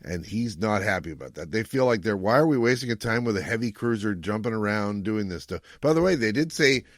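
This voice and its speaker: A man's voice: lowish voice, expressive, fairly quick, dry recording, studio quality. A middle-aged man co-hosting a podcast, chatting casually as he recaps an episode. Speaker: podcast co-host.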